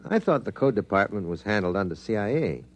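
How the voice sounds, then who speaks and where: An actor playing a wry, seasoned newspaper reporter who narrates in a dry, conversational 1950s radio drama manner. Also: male voice, lowish voice, very animated, quick pace. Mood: curious. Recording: vintage 1950s radio broadcast.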